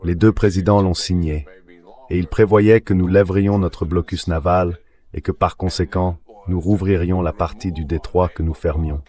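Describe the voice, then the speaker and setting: A man giving measured, explanatory political commentary as a guest in a dubbed interview. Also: low-pitched, steady tone, warm tone.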